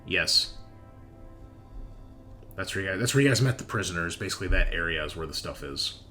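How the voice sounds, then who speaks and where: A man narrating casually as game master of a tabletop game, haltingly, as if thinking aloud. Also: deep voice, animated, sharp tone, faint music behind.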